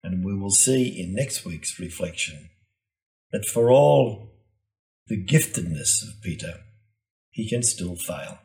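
A man delivering a scripted, reflective homily in a measured, solemn and deliberate manner. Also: low-pitched, animated, darkish tone. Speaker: preacher giving a Gospel reflection.

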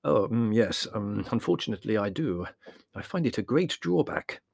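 A man voicing a mild, judicious scholarly gentleman in a storybook, hesitant, halting and hemming as he talks. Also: fairly low pitch, sing-song, vocal fry, breathy edge, dry recording, clean studio sound, full character voice. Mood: apologetic. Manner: slow, halting, hemming and stammering.

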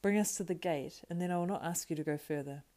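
A woman reading a story aloud and voicing a gentle, weary young male hero who speaks kindly and steadily. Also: on the low side, fast, natural voice. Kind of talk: audiobook character voice.

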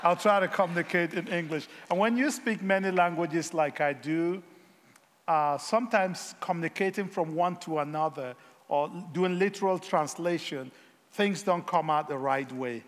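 A guest preacher, his voice sitting a little high for a man. He talks haltingly, filling gaps with small hesitations and wry jokes, and his words ring out in a hall with a long echo. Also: fairly bright tone. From Africa. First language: Yoruba. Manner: halting, frequent filler pauses, self-deprecating humor. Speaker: guest missionary speaker. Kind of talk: sermon.

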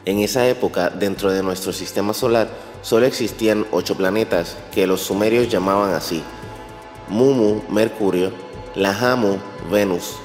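A man's voice: lowish voice, normal speed, a little mellow. A man narrating a scripted documentary as a storyteller of ancient matters. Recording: roomy long reverb over faint music. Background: faint music.

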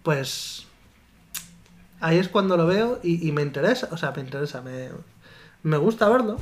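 High-voiced laughing man. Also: lively intonation, normal speed. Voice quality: higher voice than his co-host. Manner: laughs often, reads listener comments aloud. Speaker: podcast co-host. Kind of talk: casual chat.

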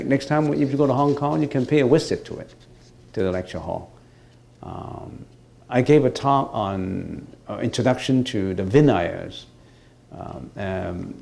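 Buddhist teacher, male, lowish voice; hesitant, restarts, stresses key teachings. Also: vocal fry, a little mellow. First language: Cantonese. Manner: halting, many fillers and restarts, rising emphatically on key points. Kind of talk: dharma talk answering questions.

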